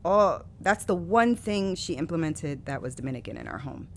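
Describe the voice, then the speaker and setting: A woman poet from New City, a podcast guest telling candid stories about her upbringing with animated, laughing energy. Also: sits low, normal speed. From New York City. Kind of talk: casual interview conversation. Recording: faint background noise.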